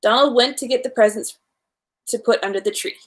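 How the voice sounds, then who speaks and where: A woman's voice: a little high, a little fast. A woman hosting a children's story time, reading a storybook aloud in an informal way with small stumbles.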